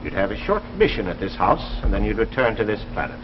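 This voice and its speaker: Seeking a low-voiced, grave scientist committee chief, formal delivery, 1950s sci-fi drama, dull old broadcast audio. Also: male voice, very animated, fast talker. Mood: coolly persuasive. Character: solemn, authoritative doctor heading a secret scientific committee. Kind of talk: 1950s science fiction anthology drama acting. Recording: dull vintage 1950s broadcast, music behind.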